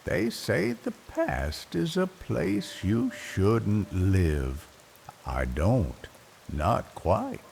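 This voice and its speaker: An older man narrating a bedtime story in a slow, reflective, wistful way, leaving long pauses between phrases. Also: lowish voice, sing-song, gravelly. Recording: rain noise behind the voice. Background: soft rain ambience.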